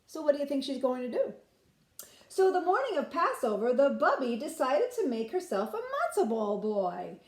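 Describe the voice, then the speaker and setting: Expressive woman reading at children's storytime. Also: high-pitched, moderate pace. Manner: expressive, explaining simply to children. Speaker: nursery school teacher reading aloud. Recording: roomy, echoing room sound.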